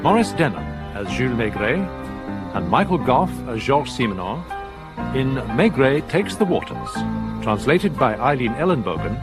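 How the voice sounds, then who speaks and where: A male radio announcer reads scripted credits. His pitch is medium with lively movement. Loud music runs behind him. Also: normal speed.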